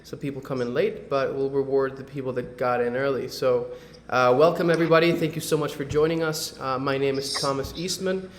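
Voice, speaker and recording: A man's voice: mid-range voice, steady tone, a little fast, a little hiss or hum. Man hosting a webinar, echoey room. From Medellín, Colombia. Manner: loose and conversational, many fillers and tag questions. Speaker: real estate webinar host. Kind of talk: casual presentation on a video call. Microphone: video call.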